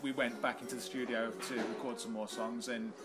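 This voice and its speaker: A man with a rough voice chatting casually in an interview as the singer of a rock band. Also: medium pitch, level tone, fairly quick. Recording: loud music behind the voice. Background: loud music.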